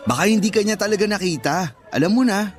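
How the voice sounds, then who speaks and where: A man's voice: high-pitched, lively intonation, fast talker, faint music behind. A man playing a teasing, blunt young co-worker and best friend in a radio drama, joking and scolding as he talks. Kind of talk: Tagalog radio drama acting.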